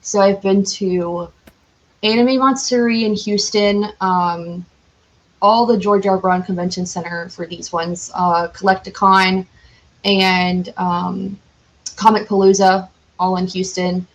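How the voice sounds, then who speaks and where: A woman with a medium-pitched voice talks as a guest in a casual interview. She is enthusiastic and informal, with a giggly streak. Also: steady tone, slow pace, poor audio. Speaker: podcast guest, cosplayer.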